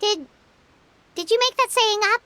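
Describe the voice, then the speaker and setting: A woman's voice: very high voice, moderate pace, pushed and bright. A woman voicing a tiny, cheeky fairy companion in a video game, cartoonish, sassy and skeptical. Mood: teasing. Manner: sassy, skeptical, refers to self in third person.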